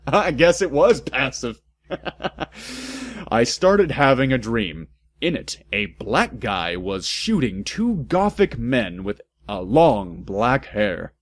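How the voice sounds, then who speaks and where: A man's voice: mid-range voice, dry recording. A man doing a comic dramatic read-aloud, theatrical and exaggerated, breaking into amused asides. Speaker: narrator and host of a comedic fan fiction reading.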